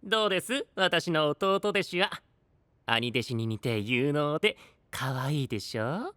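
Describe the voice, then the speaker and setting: Playful, polite, animated young man. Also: fairly high pitch, a little slow, clear, resonant tone, no echo. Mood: proud, playful. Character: polite, playful young man, proudly teasing senior disciple. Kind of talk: anime voice drama acting.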